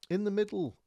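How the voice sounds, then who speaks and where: A man's voice: high-pitched, gravelly, dry recording. Chatty man with a dry sound. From North Wales. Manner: chatty, rambling, with many fillers and restarts. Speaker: podcast host. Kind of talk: casual storytelling while showing maps.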